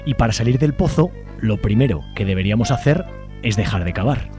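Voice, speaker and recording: A man's voice: lowish voice, animated, fairly quick, gravelly, over music. A man with a Spanish accent from Spain co-hosting a radio show in a scripted presenting style. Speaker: radio co-host.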